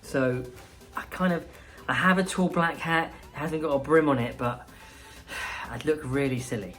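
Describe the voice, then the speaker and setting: A woman, a school principal reading a storybook aloud expressively, with pauses and small asides. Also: low voice, soft background music. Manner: unhurried, expressive, pausing.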